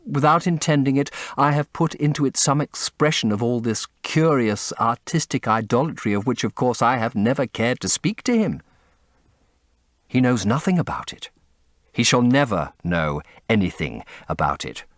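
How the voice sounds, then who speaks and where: A man performs an earnest, devoted painter from an audiobook novel. His mid-pitched voice swings widely and expressively, anguished and rhapsodic. The recording is close and dry. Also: a bit bright, dry recording. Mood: anguished, guarded. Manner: impassioned, rhapsodic, confessional. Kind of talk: dramatic audiobook character acting.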